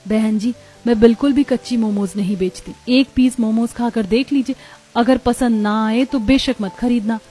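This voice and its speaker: Woman voicing a gentle, mellow-voiced poor momo seller. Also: fairly high pitch, steady tone, moderate pace, lightly acted. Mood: eager, reassuring. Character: poor, hardworking, tender young mother selling momos. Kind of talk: cartoon story character voice.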